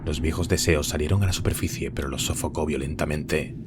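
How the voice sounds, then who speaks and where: A man narrating a dark war story in a grave, steady scripted delivery. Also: a bass voice, a little fast, very noisy. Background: very loud music.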